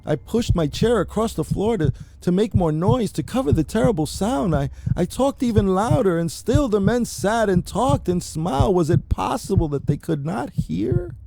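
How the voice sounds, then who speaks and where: A man giving a theatrical, deliberate first-person dramatic reading of a horror story, as an anxious, mad murderer confessing. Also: high-pitched, animated, gravelly, noisy background. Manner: theatrical, deliberate, with emphatic repetitions. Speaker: amateur narrator reading a horror short story.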